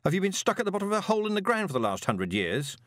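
Guy doing a quick-witted crook for an audiobook, sarcastic n demanding, voice jumps all over. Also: sits high, sing-song, fairly bright tone, no echo, clean studio sound, voice pushed up. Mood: sarcastic, exasperated. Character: irritable, sarcastic male swindler, quick-witted.

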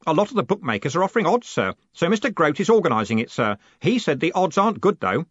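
A man's voice: a little high, sing-song, fast talker, breathy edge, dry recording, muffled recording, voice pushed up. A man voicing an eager, naive young clerk in an audiobook, earnest, deferential and a bit pedantic. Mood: happy, eager. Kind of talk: audiobook character voice.

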